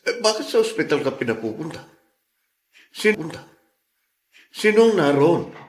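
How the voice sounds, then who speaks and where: A man's voice: on the high side, very animated, normal speed. A man playing a concerned, determined ally in a radio drama, speaking with urgency. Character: Jason, concerned, determined ally of a worried mother. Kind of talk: Filipino radio drama acting.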